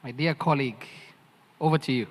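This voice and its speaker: A man's voice: medium pitch, brisk. A man acting as moderator and host of a conference session, upbeat as he wraps it up. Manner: upbeat, wrapping up. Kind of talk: conference stage hosting. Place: large conference hall. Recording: hall reverb from a PA.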